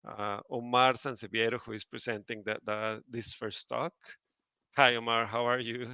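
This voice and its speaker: A man's voice: mid-range voice, little pitch movement, normal speed, sharp tone, clean tone, no room sound. A man hosting an online conference, making announcements and introducing a speaker, with many fillers and restarts. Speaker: event host.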